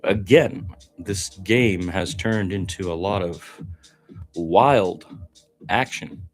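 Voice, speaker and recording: A man's voice: low-pitched, slow, soft background music. A man doing improvised live basketball play-by-play as a radio commentator, adding opinionated, reflective asides between calls.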